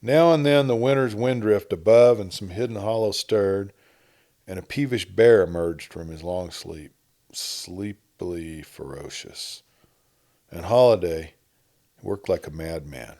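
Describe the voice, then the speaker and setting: A man reading an adventure story as a narrator, in a measured storytelling manner with dramatic emphasis. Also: deep voice, dark tone. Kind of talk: scripted dramatic audiobook narration.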